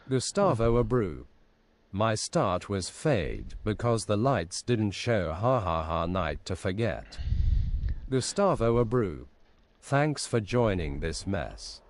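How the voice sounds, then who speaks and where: A male voice that reads out chat messages and usernames in a flat, even way. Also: deep voice, no room sound.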